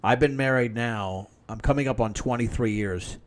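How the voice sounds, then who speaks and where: A man's voice: medium pitch, normal speed. A man in his fifties, a podcast guest, telling long drinking anecdotes in casual chat. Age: 50s. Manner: long-winded anecdotes, talking over others.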